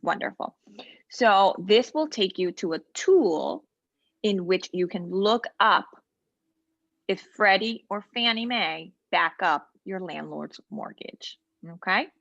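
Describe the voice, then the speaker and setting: A woman's voice: medium pitch, expressive, takes it slowly, vocal fry, a bit bright, dry recording. Patient woman trainer on a dull video call. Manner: patient, explanatory, with frequent ums and asides. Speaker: legal aid trainer. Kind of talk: walkthrough of online software in a role-play interview.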